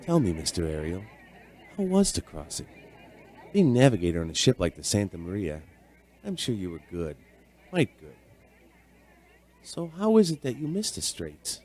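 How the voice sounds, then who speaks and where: An actor in a radio drama playing a friendly, curious barkeep turned amateur sleuth, with a folksy, hesitant, probing way of talking. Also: male voice, on the low side, huge pitch swings, normal speed, long pauses, dark tone. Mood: pointed, probing. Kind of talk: radio drama acting and narration.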